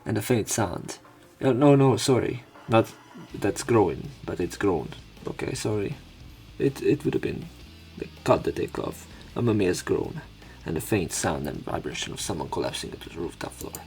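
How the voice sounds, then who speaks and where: A man's voice: on the low side, sing-song, moderate pace, vocal fry, a little mellow, faint music behind. A man reading game narration aloud as a let's player, breaking into irreverent, casual commentary. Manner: irreverent, crude asides.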